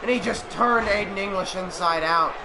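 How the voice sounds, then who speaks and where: A young man doing play-by-play commentary on a video game, excitable and bursting into shouts on big moves, his voice going hoarse and cracking into coughs. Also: sits high, normal speed, noisy background. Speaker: host commentating a simulated game.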